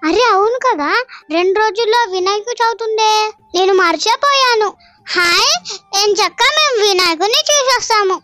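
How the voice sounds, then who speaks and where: A woman's voice: extremely high pitch, moderate pace, pushed and bright, dry recording, soft background music. A woman playing a poor, eager and innocent village child with a plaintive manner in a dramatised moral story. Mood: excited.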